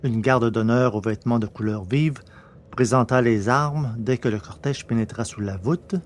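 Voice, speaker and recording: A man reads scripted science-fiction narration. His voice is medium in pitch. His delivery is measured and literary, as a storyteller for an audio drama. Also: slight background noise.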